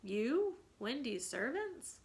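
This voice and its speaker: A woman's voice: sits high, sing-song, slow, vocal fry, pitched above the performer's own voice, exaggerated voice. A woman voicing an astonished, indignant young boy, a storybook brother with an incredulous manner. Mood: aghast.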